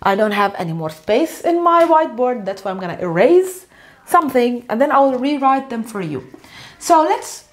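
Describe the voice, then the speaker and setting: A high-voiced woman in her thirties, a native Arabic speaker, teaches at a whiteboard. She is animated and emphatic, and she slows down to repeat key words. She is recorded close on a lapel mic in a bright room. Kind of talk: whiteboard language lesson.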